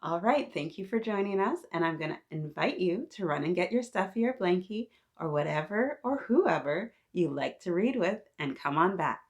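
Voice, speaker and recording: A woman's voice: on the low side, animated, normal speed, few pauses. A woman hosting a read-aloud for young children, talking to her little listeners in an encouraging, teacher-like way, with questions and praise. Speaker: children's story-time host.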